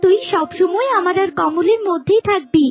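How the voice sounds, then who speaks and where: A woman's voice: very high voice, moderate pace, faint music behind. A woman voicing a cruel, vengeful serpent maiden who menaces and gloats in a dramatic cartoon style. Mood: gloating menace.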